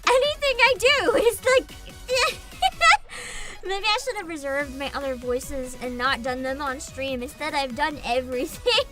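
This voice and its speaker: Very high, animated woman streamer over music. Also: moderate pace, few pauses. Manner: giggly, animated, rambling, swears casually. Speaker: VTuber livestreamer. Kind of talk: casual livestream chat with viewers. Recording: music behind the voice.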